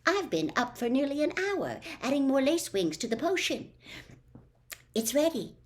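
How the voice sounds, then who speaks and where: A woman voicing a self-assured, bossy schoolgirl in a storybook, with a brisk, confident manner. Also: sits high, sing-song, darkish tone, voice pushed up.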